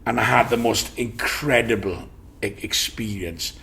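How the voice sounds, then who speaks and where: An older man with a Welsh accent, reminiscing about his acting career as a warm storyteller who often restarts his sentences. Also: mid-range voice, unhurried, gravelly. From Swansea, Wales. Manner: warm storyteller, brightens and gets louder when enthusiastic, frequent restarts. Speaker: actor recalling his theatre career. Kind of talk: reminiscing in an interview.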